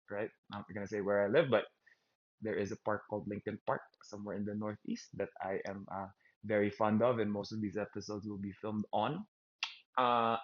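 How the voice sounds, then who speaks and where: A man from the Philippines with a Filipino accent, hosting his own vlog in a halting stream-of-consciousness monologue, often repeating words and poking fun at himself. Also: on the low side. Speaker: host. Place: outdoors in a park. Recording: dull lo-fi sound.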